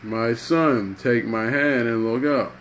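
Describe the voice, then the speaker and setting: With clear noise behind, a man plays a fatherly holy figure in a spoken parable. He is gentle and forgiving, reassuring and accepting as he speaks. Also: medium pitch, slow. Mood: calm invitation.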